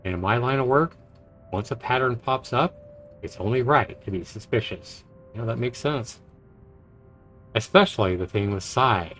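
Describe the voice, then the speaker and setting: A man's voice: medium pitch, animated, normal speed, long pauses, a bit bright. A man who streams games, reading visual novel narration aloud and reacting to it. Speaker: game streamer. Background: game music.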